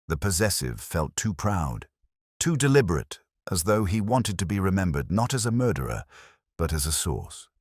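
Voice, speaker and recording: A man acting as a brooding, world-weary police detective narrates his own case in a scripted audio drama. His voice is extremely deep and somewhat husky, with expressive rises and falls. The phrasing is literary, introspective and measured. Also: dry recording, clean studio sound, heavily acted.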